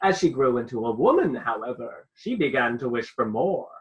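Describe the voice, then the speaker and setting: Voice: medium pitch, big pitch swings, normal speed, highs cut off, lightly acted. An actor plays a stuffy storybook narrator in a radio play. The delivery is theatrical and widely swooping, insistent and controlling, easily flustered. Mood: storytelling.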